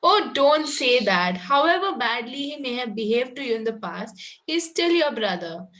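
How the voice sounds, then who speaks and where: A woman's voice: a little high, very animated, moderate pace, fairly bright tone. A woman playing a sweet, eager, coaxing young Victorian girl in a play read-through. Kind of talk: amateur play table read.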